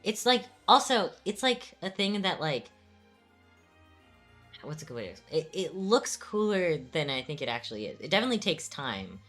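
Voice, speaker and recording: A woman's voice: low-pitched, big pitch swings, moderate pace, a bit bright, soft background music. A woman co-hosting a livestream, chatting casually and laid-back while her sibling animates, with many "like" fillers. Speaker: streamer co-host.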